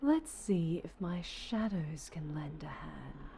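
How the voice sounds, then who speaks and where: A woman playing a sinister, obsessive, possessive female superhero in an ASMR roleplay, halting and menacing, often dropping into whispers. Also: low voice, animated, creaky, noisy background, heavily acted. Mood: sinister, crooning.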